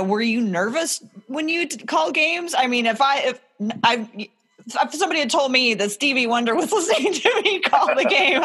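A woman's voice: sits high, a bit bright. A woman hosting a podcast, chatting casually. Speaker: podcast host. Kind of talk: casual chat.

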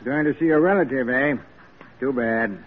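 A man's voice: medium pitch, normal speed, natural voice. An actor playing a folksy, matter-of-fact small-town man in a 1940s radio drama, offhand and a little hesitant. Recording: muffled vintage radio broadcast recording.

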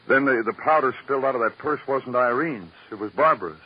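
An actor playing a terse, world-weary police lieutenant with dry, clipped delivery in a radio crime drama. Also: male voice, mid-range voice, a little fast, natural voice. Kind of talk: old-time radio crime drama acting. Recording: muffled, noisy vintage radio recording.

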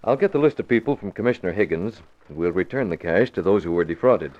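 An actor playing a calm, shrewd newspaper publisher who is secretly a crimefighter, in 1940s radio drama style. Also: male voice, low-pitched, fast. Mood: confident, wry. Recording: dull old 1940s radio recording.

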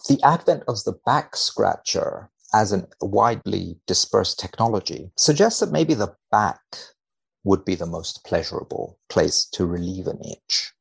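A man speaking as a researcher guest on a podcast, dry and mock-serious in a measured delivery. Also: lowish voice, animated, vocal fry, breathy edge. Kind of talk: podcast chat and reading aloud from a study.

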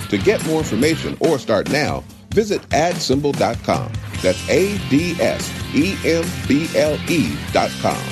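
A man reading a scripted podcast intro in a punchy announcer delivery. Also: medium pitch. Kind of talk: scripted promo narration. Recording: voice over loud guitar music. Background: loud guitar music.

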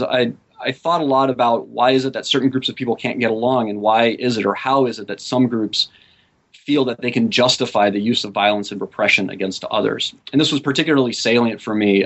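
A man who grew up in South America, speaking as a guest academic on a podcast, thoughtful and measured, with frequent fillers. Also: medium pitch, fairly bright tone, muffled recording. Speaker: guest author. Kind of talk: academic interview.

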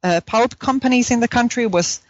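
A woman's voice: fairly high pitch, brisk, no room sound. Chilean-accented woman, dry slightly dull recording. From southern Chile. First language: Spanish. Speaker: academic guest, philosophy professor. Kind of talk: conversational interview, telling own story.

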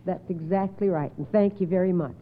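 A woman's voice: lowish voice, fairly quick, very noisy. A woman hosting a radio quiz in a precise, formal, encouraging manner. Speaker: quiz show host. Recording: tinny, narrow old radio broadcast.

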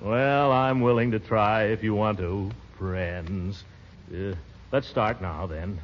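An actor playing a pompous, lovesick middle-aged bachelor, blustering and sighing in 1940s radio comedy style. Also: male voice, fairly low pitch, takes it slowly. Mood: smitten. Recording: muffled, noisy 1940s radio recording.

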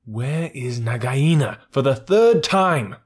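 A man voicing a small, brave male mongoose with a husky voice, talking sharply and urgently. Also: medium pitch, animated, takes it slowly, voice pushed up. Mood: impatient, urgent.

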